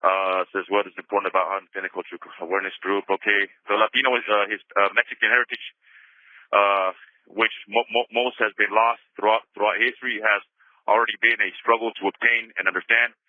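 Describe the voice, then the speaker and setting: A low-voiced man from Yakima County reads his prepared answers, tripping over words and filling gaps with "uh". The sound is dry and narrow, like a phone line. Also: flat delivery, a little fast, dry recording. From Yakima County, Washington. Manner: reads with stumbles and "uh" fillers. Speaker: incarcerated cultural group member.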